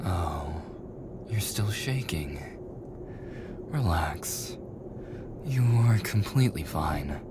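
A smug mafia prince, acted out by a man, speaks in a very low voice at a slow, deliberate pace. He is menacing yet coolly charming, sliding into breathy, possessive murmurs as in an immersive roleplay drama. Clear background noise sits behind him. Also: animated, heavily acted. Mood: soothing. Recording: clearly noisy background ambience.

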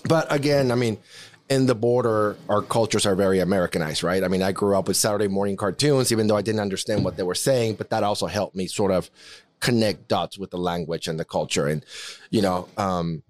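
A man's voice: on the low side, a little fast. A man in his forties from Mexico with a Mexican accent, telling his life story casually on a podcast with many restarts. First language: Spanish. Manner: rambling, many restarts and fillers. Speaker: podcast co-host. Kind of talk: casual chat, personal storytelling.

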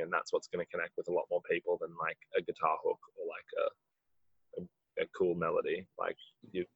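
A musician with a husky voice, answering interview questions in a rambling way, full of "like". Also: male voice, sits low, dry recording, dull audio. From Australia. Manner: rambling, heavy use of "like". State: husky from late rehearsal. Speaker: musician in a band.

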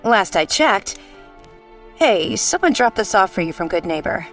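A woman's voice: mid-range voice, sing-song, a little slow, soft background music. A woman playing a familiar, matter-of-fact secretary at a detective agency in a noir radio drama.

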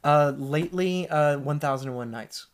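A man's voice: a little high, moderate pace. Easygoing man, filler-heavy talk. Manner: relaxed, hesitant with many fillers. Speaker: podcast guest, storyteller. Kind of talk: casual conversation.

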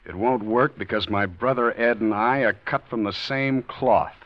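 An actor playing a resentful, scheming husband in a 1940s radio drama, bitter and halting. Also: male voice, medium pitch, lightly acted. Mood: cold. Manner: bitter, hesitant with fillers. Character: scheming resentful husband, George, middle-aged. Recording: dull old radio recording.

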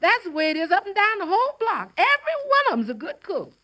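An actress playing a folksy, opinionated housekeeper in a 1940s radio commercial, speaking emphatically. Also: female voice, very high-pitched, big pitch swings, fast, pushed and bright, exaggerated voice. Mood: cheerfully emphatic.

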